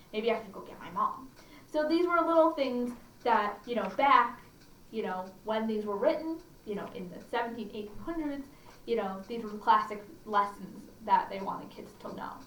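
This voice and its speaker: A woman's voice: high-pitched, fairly bright tone, a little hiss or hum. A woman hosting a children's storytime, reading aloud expressively and adding chatty, questioning asides to her listeners. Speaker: library storytime host. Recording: echoey room, slightly distant mic.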